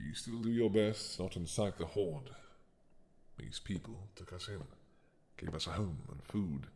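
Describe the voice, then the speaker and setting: A man playing an ancient, regretful dragon king in an audio roleplay, talking slowly and softly with sighs. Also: sits low. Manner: slow, soft-spoken, musing with sighs.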